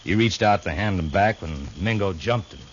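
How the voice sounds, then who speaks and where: An American actor playing a surly cowhand henchman in a 1950s radio western, talking in a gruff, grudging, folksy way. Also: low-pitched, lively intonation, normal speed. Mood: grudging, confessing. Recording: dull, noisy old radio recording.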